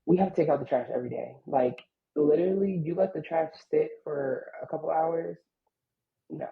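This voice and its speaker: A woman co-hosting a casual podcast, relaxed and conversational, often murmuring agreement. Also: sits low, moderate pace, gravelly. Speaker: podcast co-host. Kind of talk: casual chat. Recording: slightly dull, muffled audio.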